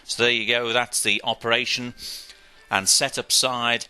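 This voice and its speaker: A man's voice: mid-range voice, little pitch movement, a little slow, pushed and bright, clear, resonant tone. A man giving a methodical, step-by-step instructional equipment demonstration. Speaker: product demonstrator.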